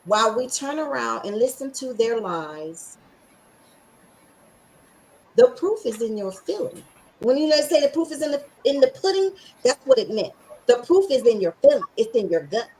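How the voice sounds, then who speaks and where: A woman's voice: fairly high pitch, very animated, normal speed, darkish tone. A middle-aged woman with a scratchy voice hosting a podcast, talking in a sassy, emphatic and preachy way with lots of slang. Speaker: podcast host. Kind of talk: casual motivational chat.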